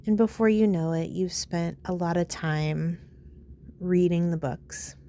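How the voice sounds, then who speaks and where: A woman leading a guided meditation in a calm, soothing, unhurried way, pausing between phrases. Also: on the low side, slight background noise.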